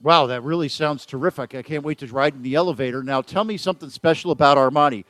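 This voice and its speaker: A man's voice: on the high side, fast, a bit bright, dry recording. A man hosting a business talk radio show, chatting casually with a guest and joking at his own expense. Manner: jokey, self-deprecating, chatty. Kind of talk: casual chat. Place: event venue with photographers.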